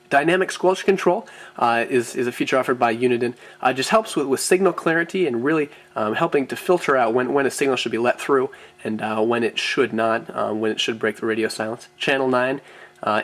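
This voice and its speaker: Guy doing an off the cuff product review, mid pitch voice, bit hesitant with lots of ums and repeats. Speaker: product reviewer, radio shop presenter. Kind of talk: unscripted product walkthrough.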